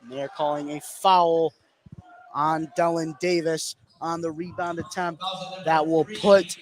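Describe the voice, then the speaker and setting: High-pitched American man calling live basketball. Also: steady tone, slow. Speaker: play-by-play basketball announcer. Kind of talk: live sports commentary.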